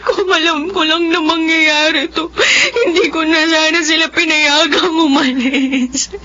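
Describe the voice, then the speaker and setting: A woman's voice: sits high, fairly even intonation, a little slow, sharp tone, highs cut off. An actress playing a tearful young college woman who has just lost her parents, in a radio drama. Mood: grieving. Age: young adult.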